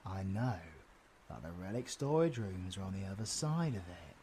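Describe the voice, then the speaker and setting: An actor playing a cheeky, foul-mouthed British supervillain rogue in an audio roleplay, slangy and excitable in banter. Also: low-pitched, moderate pace, slight background noise. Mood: scheming.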